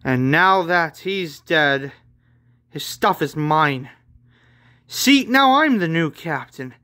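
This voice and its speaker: A man's voice: on the high side, lively intonation, slow, pushed and bright, no echo, clean studio sound, exaggerated voice. A man voicing a menacing possessed girl in a manga story, gloating and threatening. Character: menacing possessed girl who seizes command.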